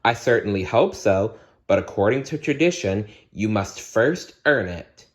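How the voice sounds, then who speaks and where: A man voicing a gentle, loving queen mother in a bedtime story, measured and reassuring. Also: on the low side, animated. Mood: fond, encouraging.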